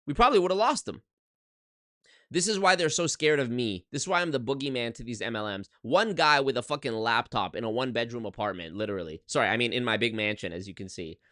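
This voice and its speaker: A man's voice: mid-range voice, expressive, quick pace, bright, forceful tone, no room sound, studio quality. A man in his twenties, a YouTuber talking casually on a livestream from his apartment into a desk microphone, emphatic and swearing, often restarting his sentences. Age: 20s. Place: one-bedroom apartment.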